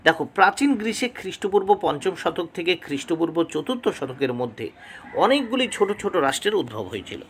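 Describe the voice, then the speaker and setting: A man's voice: on the high side, sharp tone, husky. A man tutoring for history exams, reading questions and model answers aloud in a didactic way, announcing each item and repeating key terms.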